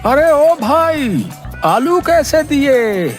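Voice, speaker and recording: An actor voicing a stingy, fussy village merchant man in a cartoon story, haggling and protesting in drawn-out phrases. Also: high voice, sing-song, over music, heavily acted. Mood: haggling, indignant. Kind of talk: animated moral-story cartoon acting.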